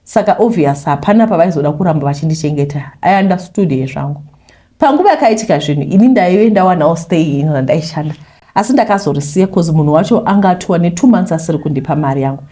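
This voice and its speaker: A Zimbabwean woman narrates a personal confession at a brisk pace. Her voice is soft-edged and mellow, sitting a little low. She keeps it conversational, slipping English phrases into the story. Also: animated. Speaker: YouTuber reading a listener's confession.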